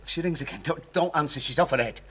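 An actor playing an evasive, controlling estranged husband in a modern radio drama, hesitant and pleading, then sharply ordering. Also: male voice, fairly high pitch, quick pace, very noisy, lightly acted. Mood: flustered urgency.

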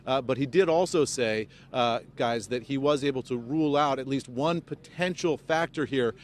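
American male field reporter, faint outdoor rumble. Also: normal speed. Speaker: field news correspondent. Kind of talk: live news report. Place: outdoors by a highway among press crews. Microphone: handheld microphone held close. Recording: outdoor live report, rumbling background noise. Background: low rumbling outdoor noise.

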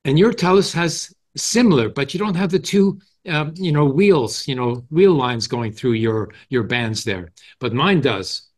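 An older man chatting in a group discussion on a video call, haltingly, often adding "you know". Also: medium pitch, lively intonation. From Canada. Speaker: forum participant and moderator. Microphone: headset on video call.

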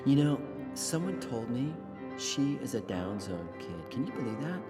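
An actor playing a smirking, gossipy corporate agent in a video game, with a leisurely, teasing manner. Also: male voice, medium pitch, big pitch swings, moderate pace, loud music behind the voice, close to an everyday voice.

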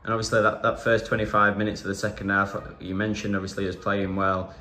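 A man asks post-match questions from off camera in a conversational, stumbling way. His voice sits lower than most men's. The room around him is echoey, with a faint background hiss. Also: completely flat delivery, fast talker, sharp tone. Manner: conversational, stumbling over words. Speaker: club media interviewer.

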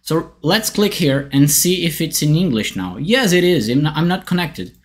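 Brazilian-accented man explaining software steps. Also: a little high, expressive, moderate pace. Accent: Brazilian Portuguese. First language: Portuguese. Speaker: tech writer demonstrating software. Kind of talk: software tutorial. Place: bright indoor room.

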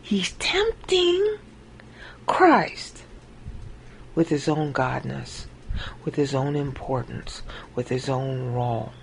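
A woman giving an informal Bible teaching monologue over a screen recording, acting out quoted lines with big jumps in pitch. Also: very animated, slow pace, vocal fry, pauses often, a little hiss or hum, low-quality recording.

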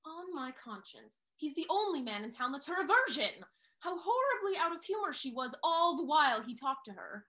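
Woman playing a high-voiced, sharp-tongued society lady. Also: sing-song, normal speed, full character voice. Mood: indignant. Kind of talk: theatrical reading of Restoration comedy. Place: indoor home room on a video call.

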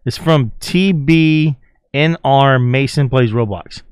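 A man's voice: medium pitch, takes it slowly, smooth, no room sound, clean studio sound. A man hosting a casual reaction video, commenting on game clips and playfully reading captions aloud. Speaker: YouTube host.

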